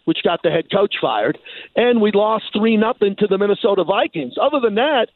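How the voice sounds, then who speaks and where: A man from New York in animated sports talk as a radio guest, speaking emphatically and repeating phrases for effect. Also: sits high, fairly quick, no room sound. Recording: phone-like thin band.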